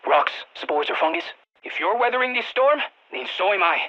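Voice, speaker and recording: A woman voicing an eager, geeky field scientist in a video game, with a Latin American Spanish accent, talking over radio comms in an expressive, quirky way. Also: mid-range voice, moderate pace, highs cut off. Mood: concerned. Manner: expressive, quirky, nerdy enthusiasm. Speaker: mission guide scientist.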